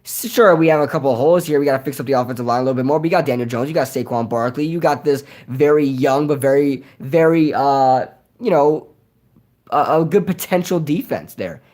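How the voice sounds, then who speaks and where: A young man whose voice is nearly shot from yelling, rambling casually through a live Q&A with lots of fillers and repeated words. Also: medium pitch, fairly quick. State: hoarse, voice nearly gone from yelling. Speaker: streamer hosting a live Q&A. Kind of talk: casual live-stream chat reading comments.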